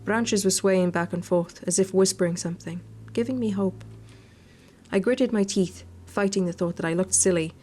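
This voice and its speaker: A woman's voice: mid-range voice, normal speed, a little mellow. A woman hosting a visual novel playthrough, narrating the text and adding casual commentary. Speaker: gaming video host. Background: game music.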